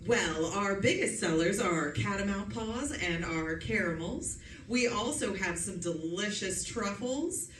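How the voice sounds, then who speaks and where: A woman's voice: medium pitch, expressive, measured pace, hardly any pauses, a bit bright. A woman playing a polite, helpful shop clerk in a radio drama. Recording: echoey room, distant mic, faint noise.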